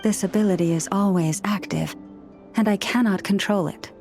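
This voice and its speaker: An actress playing a gentle, patient young woman who speaks with animals in a video game, polite and measured. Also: medium pitch, faint music behind, lightly acted. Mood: confiding, explanatory.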